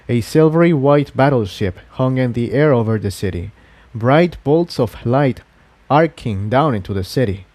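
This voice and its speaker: A man's voice: medium pitch, a little mellow, no echo. A man reading scripted adventure fiction aloud as a dramatic story narrator.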